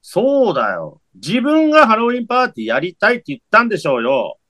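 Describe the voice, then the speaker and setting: A man playing a hot-tempered young man in a monster costume, snapping back with rough, quick retorts in a comedic radio drama. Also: high voice, wildly swooping pitch, pushed and bright, no echo, clean studio sound, full character voice. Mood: exasperated.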